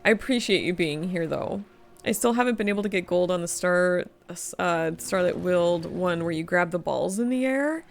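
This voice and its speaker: A woman's voice: fairly low pitch, lively intonation, moderate pace, vocal fry, faint music behind. A tired, slightly sniffly woman streaming a game, chatting casually, reading chat aloud and laughing often. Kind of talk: casual gaming livestream chat.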